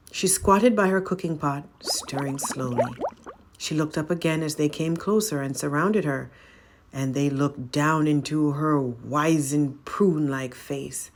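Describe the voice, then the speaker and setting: A woman storyteller with a low voice and plenty of fry. She narrates a folk tale slowly and dramatically, as a read-aloud.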